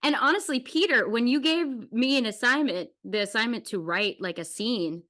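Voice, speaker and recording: A woman's voice: fairly high pitch, moderate pace, a bit bright. A woman who writes and acts, telling stories in a casual chat and acting out quotes as she goes. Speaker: playwright and actor.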